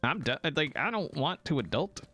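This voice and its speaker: Gravelly, animated male streamer. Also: a little high, soft background music. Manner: playful, jokey, thanks donors rapidly. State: morning voice. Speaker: livestream host. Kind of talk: casual live-stream chat, reading viewer messages.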